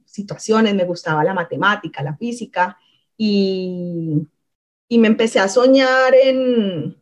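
A Colombian woman from Cali with a Cali accent, speaking casually and reflectively as an interview guest, with halting pauses while telling her personal story. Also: mid-range voice.

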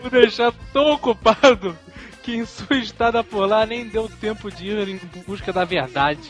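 A man's voice: high-pitched, moderate pace, faint music behind. A Brazilian man co-hosting a podcast, telling stories and bantering in a colloquial, mock-serious way. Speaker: podcast co-host. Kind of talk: casual storytelling and banter.

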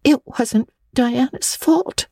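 A woman's voice: high-pitched, slow, vocal fry, dark tone, dry recording, studio quality, pitched above the performer's own voice, exaggerated voice. A woman voicing an earnest, dramatic, impulsive young girl with breathless, pleading delivery, in a classic audiobook reading. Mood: contrite.

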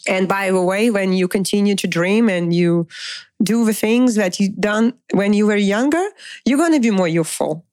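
A woman's voice: mid-range voice, moderate pace, a little mellow, dry recording, studio quality. A middle-aged woman giving an informal, unscripted motivational talk as a dating coach, emphatic and repetitive, putting rhetorical questions to the listener.

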